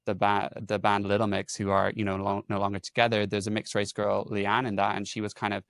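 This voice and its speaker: Wry, lowish-voiced young male host. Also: completely flat delivery, a little fast, vocal fry, a bit bright, no echo, clean studio sound. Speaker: podcast host. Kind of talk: casual conversation.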